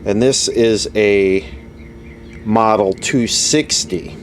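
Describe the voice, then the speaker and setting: A man's voice: on the low side, very slow. A man giving a casual, unscripted, matter-of-fact repair demonstration at a workbench, recorded on a camera microphone. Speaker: vintage radio repair hobbyist presenting. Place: indoor workshop at a workbench. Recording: noisy amateur camera audio.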